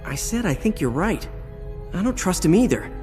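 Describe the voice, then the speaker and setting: An actor playing a breezy, teasing teenage boy hero who leads his team in an animated game cutscene. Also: medium pitch, moderate pace, close to an everyday voice. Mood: matter-of-fact. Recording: faint music behind the voice.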